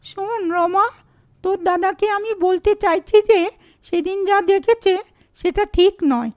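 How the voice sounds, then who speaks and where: A young woman from a cartoon story, played by a woman, speaks in a high voice. She explains herself earnestly. The recording is slightly dull and lo-fi. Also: slow, darkish tone.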